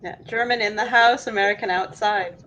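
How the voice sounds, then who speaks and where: A woman's voice: medium pitch, fairly even intonation, fairly quick, over a noisy crowd, poor audio. A woman guest in a casual chat who laughs easily.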